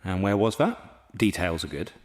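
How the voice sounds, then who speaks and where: A low-voiced man plays the secretary of a Georgian charity asylum in an audio drama. He is formal, blunt and probing, coaching like an official, in a long, hall-like reverb. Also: very animated, normal speed. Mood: businesslike, probing. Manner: formal, matter-of-fact, probing.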